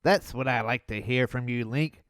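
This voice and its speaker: A young man reviewing an old cartoon in a sarcastic, mocking voice-over with deadpan jabs. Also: medium pitch, moderate pace, soft background music.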